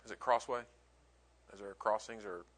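A middle-aged Southern-raised man leads a classroom session in a bright, forward voice. He talks in a loose, friendly way and drifts into side stories with his students. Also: lowish voice, fairly even intonation, normal speed. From American South. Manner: chatty, friendly, rambling asides. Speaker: instructor. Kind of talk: classroom talk.

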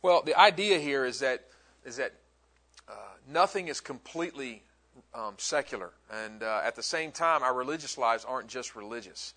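A man's voice: mid-range voice, expressive, normal speed, bright, forceful tone. A middle-aged man from the American South teaching a class, chatty and friendly, wandering into asides. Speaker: instructor. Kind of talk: classroom talk.

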